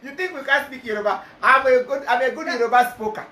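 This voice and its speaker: A man's voice: very high-pitched, fast talker. A man with a Nigerian accent hosting a playful comedy chat, bantering and laughing often. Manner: animated, switching languages, laughing often. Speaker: comedy skit host. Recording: echoey room with noise.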